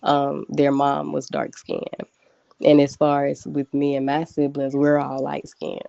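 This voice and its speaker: A woman in her early thirties, a guest on a casual group podcast over a call, speaking in a slow, relaxed, thoughtful way. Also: low-pitched, gravelly, no room sound, dull audio. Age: 30s.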